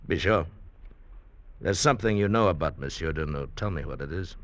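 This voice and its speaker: An actor in an old American radio drama playing a bitter, weary convict barber in a penal colony, brooding and halting. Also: male voice, low voice, expressive, a bit bright, no room sound. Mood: guarded. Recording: dull vintage radio recording.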